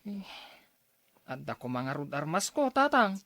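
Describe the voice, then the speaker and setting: A woman's voice: deep voice, big pitch swings, measured pace, fairly bright tone, no echo, full character voice. A woman playing a cocky, mischievous young shepherd boy in a Filipino audio drama. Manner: playful, boastful.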